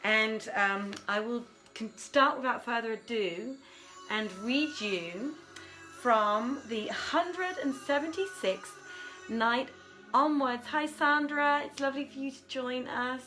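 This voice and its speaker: A woman's voice: fairly high pitch, slow pace, rough recording. A woman hosting a casual livestream at home, chatting and muttering to herself as she goes, with an apologetic manner. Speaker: host of a live online reading. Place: home room with desk and piano. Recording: livestream with music playing behind. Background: soft background music.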